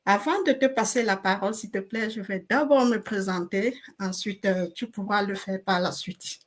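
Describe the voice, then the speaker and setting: A middle-aged woman from Cameroon hosting a live online interview, speaking deliberately with frequent hesitations. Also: medium pitch. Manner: slow and deliberate, with hesitant fillers. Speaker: host.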